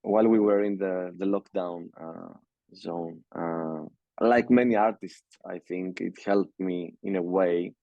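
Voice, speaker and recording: A young man from Greece with a Greek accent, speaking English as a second language in a casual interview and talking haltingly with many fillers and restarts. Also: deep voice, fairly even intonation, vocal fry, dry recording, muffled recording. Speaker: music producer, guest.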